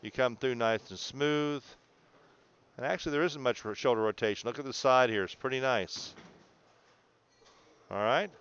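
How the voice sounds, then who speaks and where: A man's voice: mid-range voice, moderate pace, long pauses, a bit bright, clean tone, lo-fi sound. A man coaching a student through video analysis in an encouraging, explanatory way, often checking in. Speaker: bowling coach.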